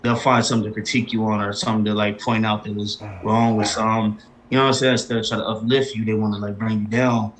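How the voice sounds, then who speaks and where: A man's voice: on the low side, little pitch movement, fast talker, crowd noise. A man in a casual radio interview as the guest artist, talking in loose street slang and often repeating himself. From Arizona. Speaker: independent rap artist, guest.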